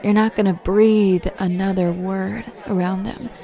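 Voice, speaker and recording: A woman playing a slow-spoken, protective girlfriend. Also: medium pitch, some chatter behind, lightly acted. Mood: furious. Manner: speaks straight to the listener as "baby". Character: fiercely protective, loving young girlfriend. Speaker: roleplay audio performer. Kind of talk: girlfriend roleplay audio.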